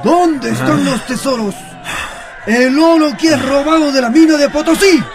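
An actor playing a harsh, angry colonial soldier with aggressive delivery in a radio drama. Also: male voice, very high voice, moderate pace, music in the background. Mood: furious, demanding. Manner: aggressive, shouted demands. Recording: noisy crowd behind voice.

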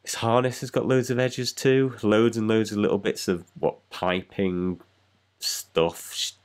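A man's voice: lowish voice, steady tone, unhurried, smooth. A man with a cold, rambling casually as he talks through painting a miniature. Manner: rambling, self-deprecating, chatty. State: congested with a cold. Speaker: miniature painting channel host. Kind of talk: casual painting tutorial voice-over.

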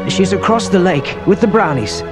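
A small, anxious apprentice sorcerer, played by a man, earnest and excitable. His very high male voice swings widely, storybook-style, over loud music. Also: moderate pace. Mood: worried. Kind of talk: dramatised children's audio storybook.

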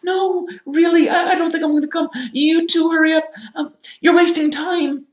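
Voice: very high voice, fairly even intonation, normal speed, roomy sound, voice pushed up. A man voicing a nervous, reluctant teenage witch girl who stammers, in a storybook reading. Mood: flustered, reluctant.

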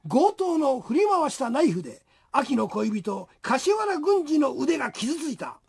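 A woman's voice: sits high, sing-song, unhurried, gravelly, a little mellow. A woman narrating a radio drama in a dramatic, scripted storytelling style.

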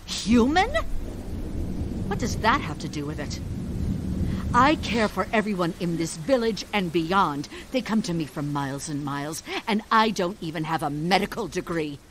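Actress as stern village healer mom, imperious, big swings in pitch, bit of noise behind. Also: female voice, animated, moderate pace, close to an everyday voice. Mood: indignant, proud. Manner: imperious, emphatic. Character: proud, domineering middle-aged woman village healer and stern mother. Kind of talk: audio drama acting.

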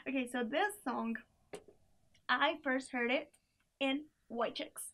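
A young woman talks through a playlist in a relaxed, chatty way, stacking up fillers and small giggly asides. Her voice sits high and carries plenty of gravelly fry. She is recorded on a camera mic in a small indoor room. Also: takes it slowly, noisy background. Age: young adult. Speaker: playlist reviewer. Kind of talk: casual chat.

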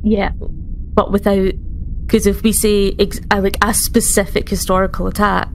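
A woman's voice: medium pitch, flat delivery, normal speed, creaky, a little hiss or hum, poor audio. Woman chatting casually during tabletop play. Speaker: player in a roleplaying podcast. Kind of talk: casual tabletop roleplay chat.

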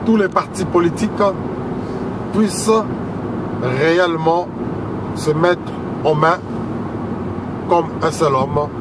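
Loud engine and road noise fills a moving car. A man with a Congolese accent speaks in a fairly high voice, at a very slow pace. His political monologue comes in deliberate short phrases broken by pauses. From Democratic Republic of the Congo. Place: inside a moving car on a motorway, while driving. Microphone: camera on passenger side.

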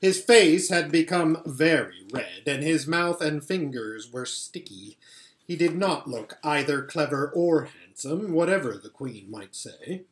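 A man's voice: on the high side, animated, measured pace, reverberant. A middle-aged man reading a children's novel aloud expressively, at home. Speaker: storybook reader. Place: living or dining room at home, indoors.

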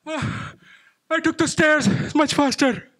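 A man's voice: extremely high pitch, fairly even intonation, unhurried, bright, forceful tone, exaggerated voice. A man playing an eager, hesitant office assistant in a staged audio drama, deferential and inquisitive. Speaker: stage actor. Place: theatre stage, indoors.

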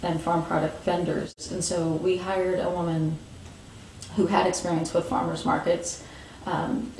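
A woman, answering interview questions thoughtfully with pauses and restarts, seated in a room with bookshelves. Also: on the low side, darkish tone, roomy sound, recorded from a distance, slight background noise, rough recording. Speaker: market organizer.

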